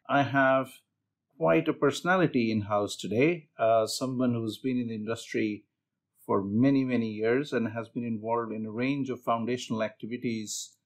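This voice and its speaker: A man hosts an interview podcast, opening with bright, lifted greetings before settling into chatty questions with a few ums. His voice sits at an average pitch. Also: fairly even intonation, normal speed. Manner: upbeat greetings, chatty with fillers. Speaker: podcast host.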